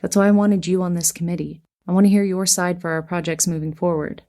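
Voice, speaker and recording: A woman's voice: fairly low pitch, steady tone, quick pace, warm tone, close to an everyday voice. An actress playing a composed, fair-minded female leader of a survivor settlement, orderly and decisive, in an audio drama.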